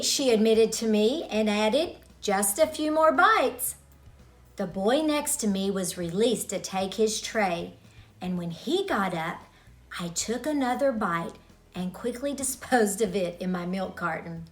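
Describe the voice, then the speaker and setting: A woman reading a story for children in an expressive way, as a storyteller speaking directly to young listeners. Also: fairly high pitch.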